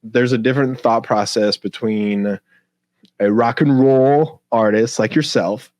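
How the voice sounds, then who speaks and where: A man's voice: medium pitch, measured pace, a little mellow, no room sound. A man co-hosting a casual podcast, talking informally with stop-start, repeated phrases. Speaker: podcast co-host.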